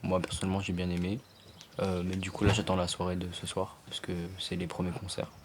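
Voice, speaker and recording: A teenage boy from France answering interview questions softly, trailing off at the ends of his sentences. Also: low-pitched, monotone, a little fast, background noise.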